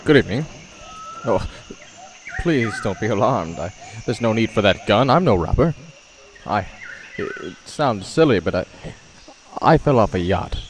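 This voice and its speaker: An actor playing a shipwrecked big-game hunter in a radio drama, telling his story in a dramatic, measured way. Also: fairly low pitch, expressive, noisy background. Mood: polite, hesitant. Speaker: narrator and lead actor. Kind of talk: old-time radio drama, first-person narration.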